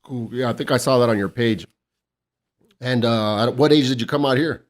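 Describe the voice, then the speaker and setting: A man's voice: medium pitch, moderate pace. Laid-back swearing podcast host man. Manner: laid-back, swears often, says "hell yeah". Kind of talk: casual podcast interview.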